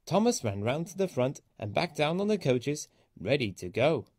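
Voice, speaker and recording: A man reading a children's story aloud as an expressive storyteller. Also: fairly high pitch, moderate pace, no room sound, studio quality.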